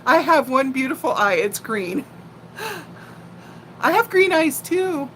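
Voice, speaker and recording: A woman in her sixties with a fairly high voice talks at a very leisurely pace. She is a playful, chatty storyteller addressing her viewers informally, with some faint noise behind her. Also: slow pace, low-quality recording. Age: 60s. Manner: animated, playful storyteller who laughs easily and often. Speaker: live stream host chatting with viewers. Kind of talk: casual chat and personal storytelling.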